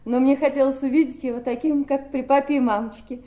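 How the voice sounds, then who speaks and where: A woman's voice: high-pitched, steady tone, a little fast, warm tone, natural voice. An actress in a 1970s Soviet radio play playing a naive, wistful wife who speaks in a girlish, nervous, pleading way. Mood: wistful, dreamy. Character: wistful, naive, fluttery wife longing for her old home.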